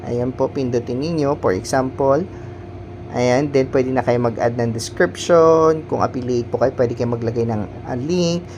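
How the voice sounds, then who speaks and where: Guy doing a polite how-to for an app, average pitched voice, noisy background. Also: moderate pace, darkish tone. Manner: polite, instructional, repeats verbal tags. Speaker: tutorial host. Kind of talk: step-by-step app tutorial, informal. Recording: clearly noisy background hum.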